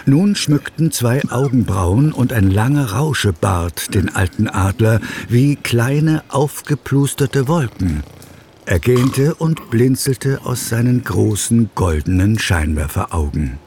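A man narrating a scripted children's story in a measured, descriptive way. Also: mid-range voice, animated, darkish tone, slight background noise. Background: background music.

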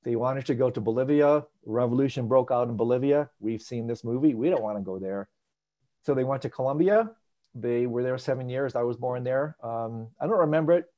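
A man hosting an online hangout, teaching in a casual, animated, joking way with tangents, heard over a video call. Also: medium pitch, brisk. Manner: animated, jokey, digressive. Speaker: host and meditation teacher. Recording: dull lo-fi video call audio.

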